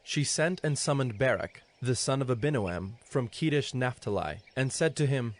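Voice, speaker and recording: A man narrating scripture in a solemn, measured storytelling manner. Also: medium pitch, lively intonation. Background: music.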